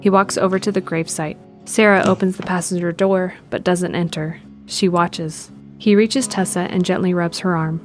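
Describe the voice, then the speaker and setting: A woman's voice: lowish voice, steady tone, moderate pace, hardly any pauses, soft background music. A woman narrator reading scripted present-tense narration for an audio drama.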